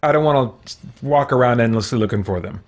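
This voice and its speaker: A man's voice: medium pitch, lively intonation, quick pace. A man giving casual gameplay commentary on a livestream, thinking aloud in a rambling, hesitant way and chatting with viewers.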